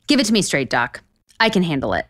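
A woman's voice: lowish voice, quick pace, no echo, studio quality. An actress playing a sarcastic, easily exasperated woman author in a romantic comedy audio drama. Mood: wry, evasive. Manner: sarcastic, excitable.

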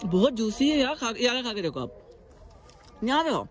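A man's voice: very high-pitched, big pitch swings, normal speed, soft background music. A young man vlogging outdoors in an orchard, casual and enthusiastic, mixing in English words. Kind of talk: casual travel vlog.

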